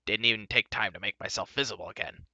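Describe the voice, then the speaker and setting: A man's voice: fairly low pitch, fast talker, gravelly, sharp tone, no echo, highs cut off, heavily acted. A man doing a snappish little fair-folk creature. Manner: snappish, blustering.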